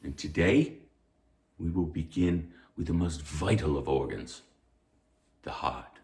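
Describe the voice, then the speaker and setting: An actor playing a stern, pompous anatomy professor, speaking formally and like a lecturer in a theatrical read. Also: male voice, very deep voice, expressive, slow pace, darkish tone, roomy sound, exaggerated voice. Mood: formal lecturing. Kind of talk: theatrical table read of a stage musical.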